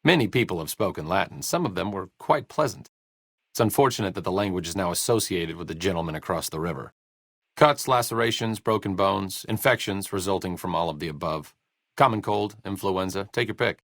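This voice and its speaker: A bookish, self-deprecating video game doctor, speaks in a low, closely recorded voice. His delivery is sardonic and erudite, rising and falling freely as he makes his dry understatements. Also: lively intonation, fairly quick, vocal fry, fairly bright tone, no echo, heavily acted. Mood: wry. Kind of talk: video game character dialogue.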